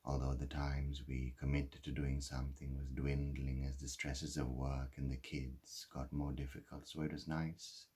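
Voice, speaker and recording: A man performing a dramatic monologue as a guilt-ridden husband, speaking in a halting, confessional way with heavy pauses. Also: extremely low pitch, completely flat delivery, darkish tone, husky. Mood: regretful, subdued. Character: guilt-ridden husband who caused a fatal drunk-driving crash.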